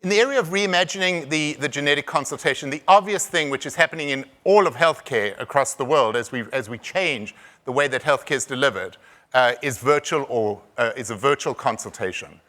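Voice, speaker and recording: A man with a South African accent giving a conference presentation, halting, with frequent restarts and repeated words. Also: medium pitch, bright, forceful tone. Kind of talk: conference talk.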